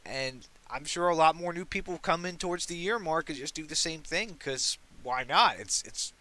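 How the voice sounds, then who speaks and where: A young man hosting a casual gaming podcast. He talks in an excitable, rambling way and swears casually. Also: on the high side, normal speed. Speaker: podcast host.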